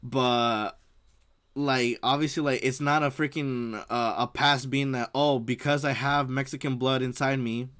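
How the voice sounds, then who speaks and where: A man's voice: medium pitch, fairly even intonation, a little slow, fairly bright tone, clean tone. A man from the United States giving casual, rambling reaction commentary as a streamer, leaning heavily on filler words.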